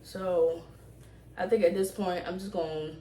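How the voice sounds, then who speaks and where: A woman's voice: lowish voice, fairly even intonation, measured pace, mellow, a little hiss or hum. A woman chatting casually to camera in storytime style, rambling and slangy, with emphatic repeats. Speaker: vlogger. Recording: roomy echo, distant microphone.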